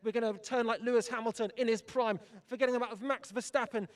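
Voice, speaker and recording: Young man, live presenter, fast-talking, hyped, jokey, outdoor fan zone. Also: very high voice, steady tone. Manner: upbeat, hyped, jokey with guests. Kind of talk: energetic outdoor broadcast chat.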